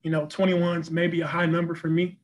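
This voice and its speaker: A man's voice: on the high side, level tone, moderate pace, a little hiss or hum. A young man, a college basketball player, answering post-game press questions over a video call, earnest and full of "you know" and repeated words. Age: young adult. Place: press backdrop room, indoors.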